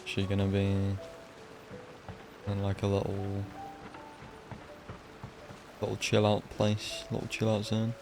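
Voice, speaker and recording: Low, gravelly man streaming a game. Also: monotone, takes it slowly, long pauses. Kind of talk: casual gameplay commentary. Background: game music.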